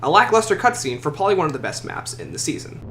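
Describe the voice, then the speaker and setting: In an echoey room with faint noise, a man delivers scripted countdown commentary as a video host. His fairly high voice moves up and down in a very lively way. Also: fast talker.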